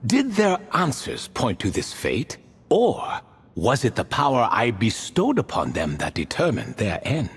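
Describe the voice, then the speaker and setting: An actor playing a proud, touchy ancient spirit king in a video game, theatrical and archaic, with dramatic pauses. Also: medium pitch, huge pitch swings, slight background noise. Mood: grand storytelling. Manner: grandiose, archaic wording, dramatic pauses and swings. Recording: long hall reverb, game music behind.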